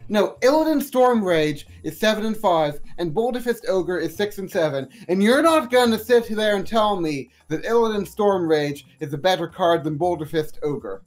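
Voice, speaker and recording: A man's voice: high-pitched, normal speed, few pauses, faint music behind. A man co-hosting a casual podcast, goofy and playful, joking around. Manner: goofy, lively, playful jokes. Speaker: podcast co-host.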